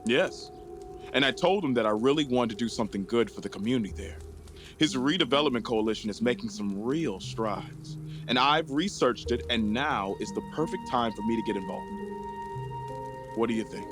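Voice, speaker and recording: A man's voice: medium pitch, expressive, moderate pace, a bit bright, soft background music, close to an everyday voice. An actor as an earnest, idealistic young man. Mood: eager, hopeful. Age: young adult. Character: idealistic, eager grown son. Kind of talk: audio drama dialogue.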